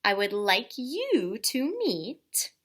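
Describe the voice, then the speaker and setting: A woman's voice: a little high, wildly swooping pitch, extremely slow, a bit bright, slight background noise, voice pushed up, heavily acted. A woman voicing a gushing, bustling female school principal in a storybook, with a commanding manner. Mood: announcing. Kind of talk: storybook character voice in a read-aloud.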